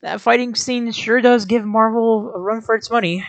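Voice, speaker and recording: A woman's voice: on the high side, fairly even intonation, moderate pace, slight background noise. A woman giving scripted video commentary as an anime reviewer, in an informal, sarcastic way.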